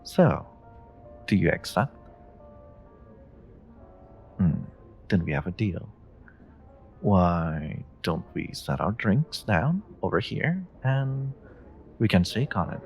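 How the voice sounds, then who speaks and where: A man's voice: low-pitched, animated, vocal fry, faint music behind, heavily acted. A man playing a suave, flirty stranger in a romantic audio roleplay, teasing and coy as he speaks directly to the listener. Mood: playful, bargaining. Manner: teasing, coy, unhurried, murmuring endearments. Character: suave flirty male stranger, playful and confidently charming. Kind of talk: romantic audio roleplay, one-sided dialogue addressed to the listener.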